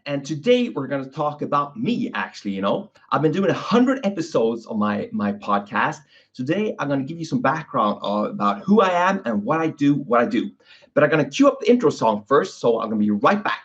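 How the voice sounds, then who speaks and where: A man's voice: mid-range voice, sing-song, fairly quick. A man hosting his own podcast, talking casually and often tagging his lines with "okay?" and "you know". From Sweden. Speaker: podcast host, physiotherapist. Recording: dull lo-fi recording.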